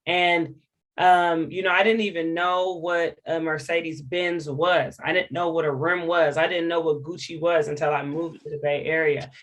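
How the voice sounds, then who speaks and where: A woman's voice: sits low, fairly even intonation, moderate pace. An American woman from Hawaii speaking candidly and informally as an interview guest, earnest and emphatic, repeating words for stress. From Hawaii, United States. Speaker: interview guest, education program director.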